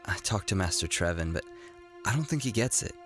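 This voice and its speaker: A restless young apprentice played by a man in an animated drama, with a very deep voice. He talks haltingly and earnestly, an awkward dreamer, over faint music. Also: natural voice. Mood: wistful. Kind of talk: naturalistic animated series acting.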